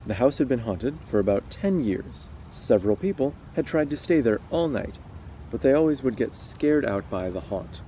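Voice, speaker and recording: A man's voice: medium pitch, lively intonation, moderate pace, dark tone, slight background noise, low-quality recording. A man hosting a casual read-aloud, moving between storytelling and chat. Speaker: host reading stories aloud.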